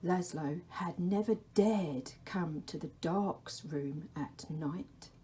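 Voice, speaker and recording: Female primary teacher for story time. Mellow, relaxed tone, very measured and gentle read-aloud. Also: fairly low pitch, a little hiss or hum.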